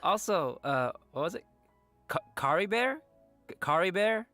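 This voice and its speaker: A man co-hosting a game preview show, presenting updates in a playful, animated way with banter. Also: sits high, a little slow, dry recording, faint music behind.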